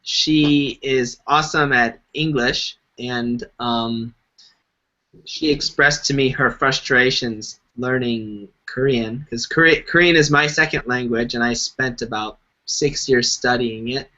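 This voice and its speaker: A man's voice: mid-range voice, slow, pushed and bright. A man hosting a casual discussion, framing questions hesitantly with many ums. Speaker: host. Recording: dull lo-fi live stream audio.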